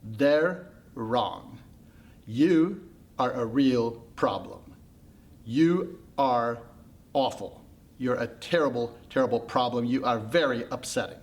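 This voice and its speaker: A man voicing a curious, stubborn, blunt woman from a myth, with drawn-out, deliberate, insistent phrasing. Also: mid-range voice, steady tone, close to an everyday voice. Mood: emphatic.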